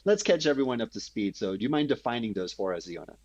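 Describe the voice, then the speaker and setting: A man's voice: fairly low pitch, big pitch swings, fast, darkish tone, lo-fi sound. A man hosting a podcast, eager and playful, asking his guest questions in casual chat. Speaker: podcast host.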